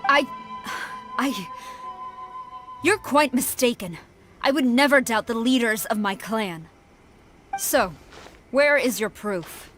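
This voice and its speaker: A woman's voice: a little high, lively intonation, slow, pauses often, fairly bright tone, soft background music. A woman playing a stern, proud, dutiful young military commander in an anime game, formal and commanding. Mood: guarded.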